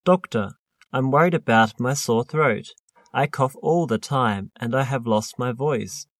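A man's voice: mid-range voice, measured pace, dry recording. A man reading the part of a worried male patient who smokes, in a scripted textbook dialogue, with plain, careful reading. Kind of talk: scripted listening-test dialogue.

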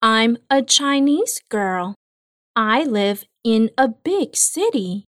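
A woman reading an English listening test in a slow, carefully spaced delivery. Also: a little high, sing-song, no room sound, studio quality. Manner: clear, carefully spaced words. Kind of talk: slow, deliberate exam reading.

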